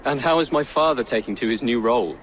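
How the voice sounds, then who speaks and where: A man's voice: mid-range voice, fast talker, crowd noise, lightly acted, rough recording. An actor playing an earnest young prince after his first battle. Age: young adult. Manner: earnest, eager. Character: young prince, eager and brave.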